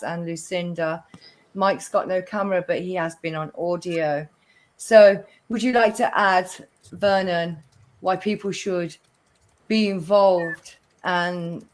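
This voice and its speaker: A woman hosting a livestream interview over a video call, bubbly and affectionate, laughing often. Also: fairly low pitch. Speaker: livestream host.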